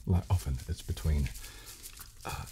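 A British man in his thirties hosting an ASMR show, speaking softly and gently as he explains things, close to the microphone. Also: a bass voice, a little slow. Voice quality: soft, hushed. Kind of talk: soft-spoken ASMR chat. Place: small decorated room, indoors. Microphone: close studio condenser microphone on table. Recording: crackling plastic handling noise on mic.

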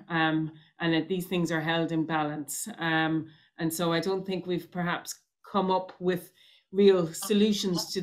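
Thirties woman moderator, lower-pitched, hesitant closing remarks on a video call. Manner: hesitant, many ums and restarts. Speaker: host and moderator.

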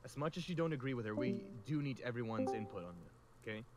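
A man's voice: medium pitch, fast, a loud crowd, lightly acted. A man playing a level-headed, peacemaking survivor in an improvised zombie roleplay, reasoning and placating. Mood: calm, persuading.

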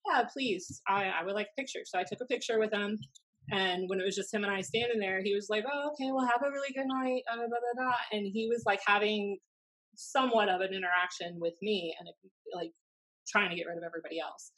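Woman, thirties, American accent, mid-pitched voice, blunt storyteller, animated casual podcast chat. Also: fast, rough recording. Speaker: podcast host. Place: small room at home, indoors. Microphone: desk podcast microphone on video call.

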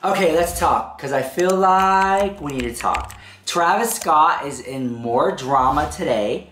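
A man's voice: on the high side, animated, a little slow, a bit bright, slight background noise. A young man with a head cold talks straight to camera, his voice stuffed up and congested. He chatters sassily through celebrity gossip, calling his viewers "girl" again and again. The room has a noticeable echo around him. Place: indoor room, seated on a throne-like chair.

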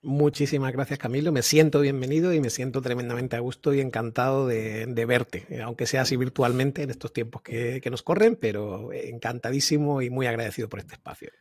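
A middle-aged man from Seville with a Spain accent and a husky voice, talking casually and chattily as an interview guest, often digressing and adding tag questions. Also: mid-range voice, steady tone, brisk, few pauses, darkish tone, dry recording. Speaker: podcast guest, agile consultant. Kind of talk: casual reflective interview talk. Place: indoor room, video call. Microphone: large microphone close to mouth, earphones.

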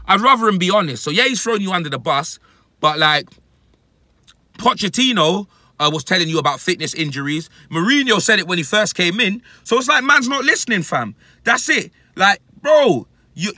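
A man in his thirties with a London accent, giving an animated, emphatic fan rant to camera in heavy, repetitive street slang. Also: high voice, no echo. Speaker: football fan reacting to his club. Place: inside a parked car. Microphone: phone at arm's length.

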